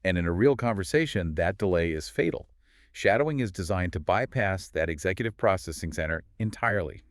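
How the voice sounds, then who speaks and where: Deep-voiced man explaining things. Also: lively intonation, fairly quick, no echo, clean studio sound. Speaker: podcast co-host. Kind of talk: scripted podcast conversation.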